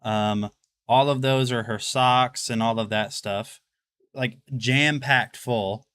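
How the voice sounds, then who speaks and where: A man's voice: mid-range voice, steady tone, slow pace, a bit bright, clear, resonant tone, dry recording, studio quality. A man in his twenties hosting a livestream, chatting casually and rambling while he walks through his home. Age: 20s. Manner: rambling, chatty, addresses chat. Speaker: livestream host. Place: home, walking through rooms.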